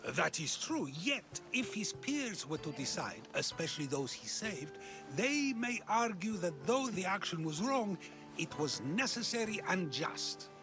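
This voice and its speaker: Condescending philosopher. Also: male voice, high-pitched, sing-song, music in the background, exaggerated voice. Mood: musing. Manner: measured, condescending. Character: lofty male philosopher, condescending.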